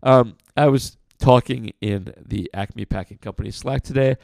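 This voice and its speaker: A man hosting a casual podcast, answering listener questions in a blunt, frank way with lots of fillers; his voice sounds rough like sandpaper. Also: medium pitch, moderate pace, darkish tone, dry recording. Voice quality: gravelly, like sandpaper. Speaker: podcast host.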